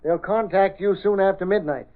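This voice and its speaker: An actor playing a tough, slow-witted gangland henchman in an old radio crime drama, talking in rough ungrammatical slang. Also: high-pitched, close to an everyday voice. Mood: eager, obedient. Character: dim, tough gangster henchman, loyal to his boss. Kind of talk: 1930s-40s radio crime drama acting. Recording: muffled old-time radio recording.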